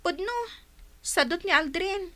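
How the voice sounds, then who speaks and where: A very high, bright voice from a woman acting a young daughter in an audio drama. She pleads emotionally and stands by her man with stubborn loyalty. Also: lively intonation, measured pace, heavily acted. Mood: pleading, defensive. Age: young adult. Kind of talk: Ilocano radio-style audio drama acting.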